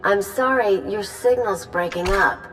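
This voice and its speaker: A mid-pitched female voice of a haughty, headstrong Sith agent played by an actress, with music behind. She is sarcastic and scornful, in sharp dramatic game style. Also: measured pace, lightly acted, poor audio. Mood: cocky defiance. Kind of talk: dramatic video game voice acting.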